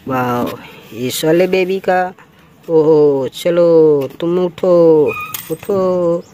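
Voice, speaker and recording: High-pitched man narrating toy play. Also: dark tone, highs cut off. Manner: exclamatory, sing-song, repetitive interjections. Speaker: toy channel presenter.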